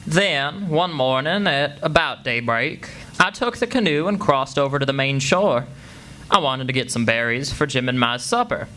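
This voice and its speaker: An actor playing a plain-spoken country lad narrating his own troubled story, folksy and halting. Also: fairly high pitch, pushed and bright, a little hiss or hum. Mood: matter-of-fact. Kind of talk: first-person radio play narration. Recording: live stage, guitar music behind.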